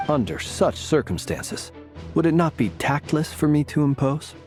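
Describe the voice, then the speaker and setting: A man voicing a formal, smoothly polite male character with a wry edge, in video game dialogue. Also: on the high side, lively intonation, normal speed, lightly acted. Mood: suave. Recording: game audio with soft music behind.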